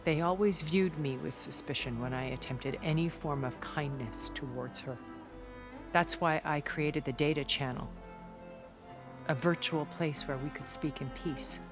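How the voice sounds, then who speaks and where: Music plays behind a very old, cultured woman from a video game. She is composed and calculating, choosing her words with measured poise. There is a quiet superiority in how she explains things. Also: low-pitched. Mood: composed, matter-of-fact. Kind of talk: video game cutscene acting.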